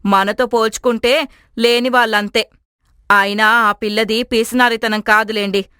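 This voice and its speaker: Lady doing bossy middle-aged mother-in-law in a cartoon, bit high, firm, matter of fact. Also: fairly even intonation, moderate pace, bright, forceful tone, smooth, dry recording, studio quality. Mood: firm, persuasive.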